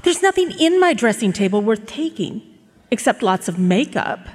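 Casting: female actor as fretful society matron, high and very lively voice, gracious, stage hall reverb. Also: moderate pace, breathy edge. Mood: earnest, flustered. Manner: genteel, theatrical, gracious. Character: genteel, fretful lady of a wealthy house. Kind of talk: live radio drama acting. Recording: live concert hall with reverb.

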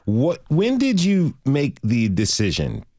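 A man's voice: mid-range voice, wildly swooping pitch, slow, dark tone, no room sound, clean studio sound. A man hosting a public radio show, presenting and interviewing guests.

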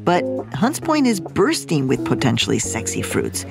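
A woman host narrates a radio segment in a scripted, playful voice-over. Clear music plays under her voice. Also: very deep voice, wildly swooping pitch, moderate pace. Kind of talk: scripted narration and field interviewing.

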